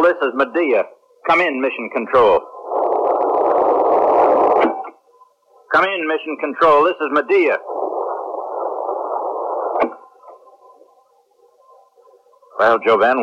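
A man playing a blunt, wry, emotional space captain in a science fiction radio drama, with an expressive, informal delivery. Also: medium pitch, natural voice. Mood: alert, concerned. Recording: muffled, noisy 1970s radio recording.

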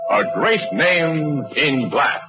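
A man's voice: a little high, lively intonation, slow, loud music behind the voice. A man announcing in polished, emphatic 1940s radio style, reading scripted sponsor copy on a muffled old broadcast.

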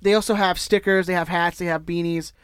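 A man's voice: high voice, steady tone, a little fast, a bit bright, clear, resonant tone, no echo, clean studio sound. A man co-hosting a podcast, rambling and joking through a sponsor read in casual chat. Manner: rambling, self-correcting, joking. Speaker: podcast co-host.

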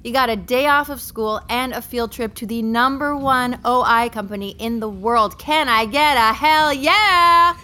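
A woman's voice: a little high, unhurried, hardly any pauses, bright, forceful tone, slight background noise. An actress playing a peppy, sassy corporate tour guide in an audio drama, chirpy and coaxing as she addresses a school group. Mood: perky, coaxing. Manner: chirpy, over-enthusiastic, coaxing.

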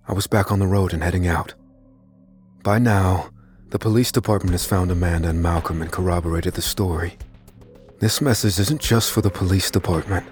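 An actor playing an unassuming, determined man who tells his own story as a secret vigilante in a measured, solemn audio drama narration. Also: sits low, darkish tone, lightly acted. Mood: solemn, resolute. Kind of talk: dramatic first-person scripted narration. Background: music under the voice.

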